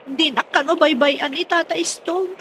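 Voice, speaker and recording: A woman's voice: high voice, fairly even intonation, normal speed, fairly bright tone, a little hiss or hum. A woman playing an anxious, fretful daughter in a radio drama, with an Ilocano accent. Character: anxious daughter fretting over her missing father.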